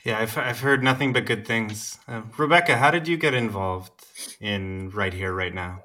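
A man hosts a relaxed interview with a bright, forward tone. He keeps his guests going with short, encouraging affirmations and asks his questions in an easy, casual way. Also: on the low side, normal speed. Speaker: interview host.

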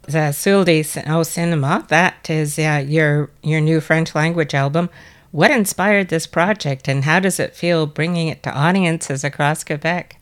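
A woman's voice: low voice, a little slow. A woman radio host who introduces her guest from a script and then asks him questions. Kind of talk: interview hosting.

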